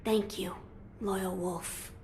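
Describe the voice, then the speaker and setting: A young noble boy in a game cutscene, gentle yet grave. The delivery is formal and measured, with very little rise and fall in pitch. There is clear background noise behind the voice. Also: fairly even intonation. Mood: earnest. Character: solemn, gentle young boy lord, immortal divine heir. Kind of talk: English game cutscene dialogue.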